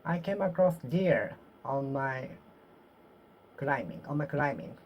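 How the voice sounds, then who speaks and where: A Japanese woman with a Japanese accent practicing English aloud to herself, halting and repeating phrases as she corrects them. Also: extremely low pitch, expressive, dull audio, slight background noise. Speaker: vlogger. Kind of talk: solo English speaking practice, talking to herself.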